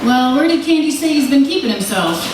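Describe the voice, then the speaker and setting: A distant, echo-heavy sound carries a wry, quick newspaperwoman played by a woman. Her voice is fairly high, styled after an old radio serial. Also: animated. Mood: curious, wry. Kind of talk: live recreation of an old-time radio serial. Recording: very echoey hall, far microphone, loud noise.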